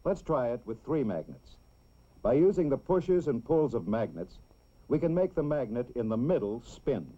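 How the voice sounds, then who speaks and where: Casting: male narrator for a children's instructional film, measured and explanatory, dull vintage soundtrack sound. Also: medium pitch, very animated, mellow. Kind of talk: scripted educational film narration.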